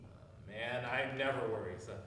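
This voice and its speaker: A man's voice: mid-range voice, moderate pace, bright, forceful tone, slight background noise, lightly acted. Man playing laconic character, cavernous echo. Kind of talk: live stage acting. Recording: cavernous echoey theatre, distant mic.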